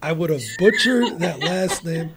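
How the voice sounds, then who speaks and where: A man hosting a casual interview podcast, excitable and rambling, often restarting his sentences. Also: a little high, measured pace, noisy background. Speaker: podcast host.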